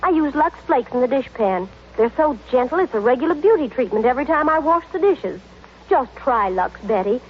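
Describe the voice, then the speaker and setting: High-voiced reassuring young housewife, radio ad. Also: female voice, animated, brisk. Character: cheerful, sympathetic young newlywed friend selling soap. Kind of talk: 1930s-40s radio advertisement acting. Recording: muffled old radio recording with noise.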